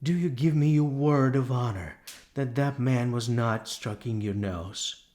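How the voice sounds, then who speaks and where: A man voicing a stern, concerned motherly horse in a storybook, speaking in a grave, deliberate way. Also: medium pitch, darkish tone, voice pushed up, lightly acted. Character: stern, concerned motherly mare confronting a friend. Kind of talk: dramatised storybook reading.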